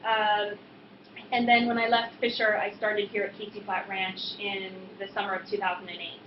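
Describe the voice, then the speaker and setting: An American woman gives an informal, explanatory presentation with frequent hesitant pauses. Her voice rings in a reverberant room, and the sound is somewhat dull, with clear noise behind it. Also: mid-range voice, flat delivery. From Boston suburbs, US East Coast. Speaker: winemaker presenting at a tasting. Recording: echoey room, muffled, noisy background.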